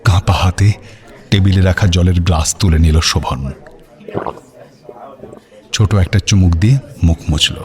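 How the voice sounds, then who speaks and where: A male narrator tells a radio drama in a very low voice. His delivery is scripted, dramatic storytelling. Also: measured pace, pauses often, a little mellow.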